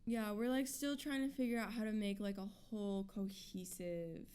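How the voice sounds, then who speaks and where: A young woman of twenty-one talks loosely and quickly, leaning on fillers and short giggles as she explains things. Her voice comes across smooth and clean, with a resonant, even tone. The setting is a relaxed radio studio chat. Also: medium pitch. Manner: chatty, many fillers, giggly. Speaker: band member. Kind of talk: casual interview chat.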